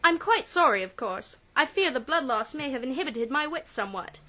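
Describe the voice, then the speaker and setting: A man's voice: very high voice, expressive, a little fast, bright, forceful tone, voice pushed up, heavily acted. A man voicing a genteel, nervous young Edwardian lady in a pulp audiobook, polite and over-apologetic. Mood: apologetic, flustered. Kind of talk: pulp adventure audiobook character.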